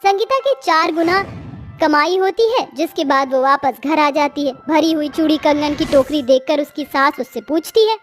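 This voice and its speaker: A woman tells a scripted children's story. Her voice sits extremely high, and light jingle music plays faintly behind her. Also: moderate pace.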